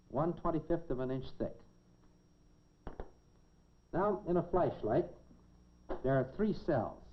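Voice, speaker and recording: A man presenting a science demonstration in a 1950s educational film, in a measured, folksy showman's way with dry humor. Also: on the high side. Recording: dull, noisy 1950s educational film soundtrack.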